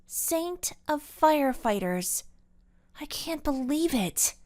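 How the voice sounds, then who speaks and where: A woman's voice: big pitch swings, takes it slowly, full character voice. A woman voicing an eager, easily frustrated young girl who exclaims her lines. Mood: disbelieving. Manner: exclaiming, higher-pitched.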